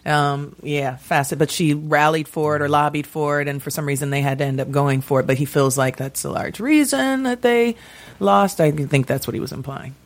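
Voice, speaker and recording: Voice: medium pitch, brisk. A podcast panelist talking casually in a group discussion.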